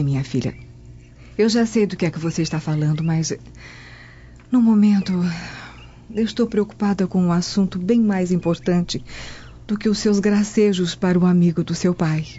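A woman's voice: on the low side, moderate pace, pauses often, dark tone, slight background noise, natural voice. A woman playing a worried, stern Roman mother near forty in a radio drama, speaking formally. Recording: dull lo-fi audio.

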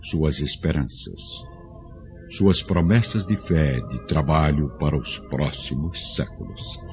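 A male narrator with a very deep voice tells a devotional radio drama, speaking very slowly and solemnly. A clear orchestral score plays under him, and the sound is slightly muffled. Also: lively intonation, music in the background.

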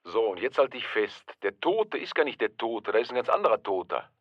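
An actor playing a chatty, teasing working-class early retiree in a radio drama, speaking colloquially over a telephone. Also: male voice, medium pitch, big pitch swings, fairly quick, no echo. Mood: conspiratorial. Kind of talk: German radio drama acting. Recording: dull, dry phone-line sound.

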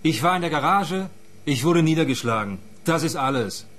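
A man's voice: fairly high pitch, animated, normal speed, fairly bright tone. An actor playing a defensive, blunt male suspect in a radio play. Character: wary, defensive male murder suspect short of money.

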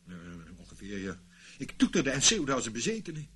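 A man's voice: medium pitch, wildly swooping pitch, moderate pace, a bit bright. A man playing an evasive, self-justifying British ex-army officer in a Dutch radio play, sometimes boastful, sometimes hesitant and defensive. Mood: defensive, uneasy. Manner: boastful storytelling, hesitant and defensive when challenged. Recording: noisy old radio play recording.

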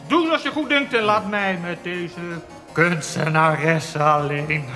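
A man's voice: high voice, heavily acted. An actor voicing a lecherous, imperious old prince-elector in a radio drama, leering and drawing out his words with erratic swings. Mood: imperious, impatient. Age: older. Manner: leering, drawn-out, erratic swings from cooing to shouting. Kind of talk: theatrical Dutch radio drama acting. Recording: long reverberant hall with music behind.

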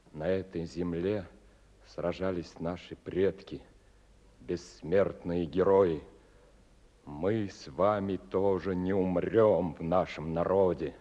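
A man's voice: a little high, a little mellow. An actor playing a solemn Soviet officer rallying his doomed comrades with declamatory delivery in a radio play. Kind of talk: theatrical Russian radio drama. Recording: muffled audio with long hall reverb.

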